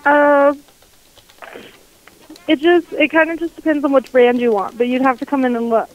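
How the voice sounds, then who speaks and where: A woman's voice: sits high, steady tone, normal speed. A woman working as a store clerk, handling a customer over a dull, noisy telephone line. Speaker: drugstore phone clerk. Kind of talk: customer service phone talk.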